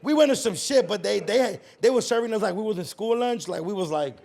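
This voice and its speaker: A young man from California hosting a casual podcast chat, animated and teasing, swearing freely. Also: high-pitched, creaky. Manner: animated, swearing, quick to tease. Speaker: podcast host.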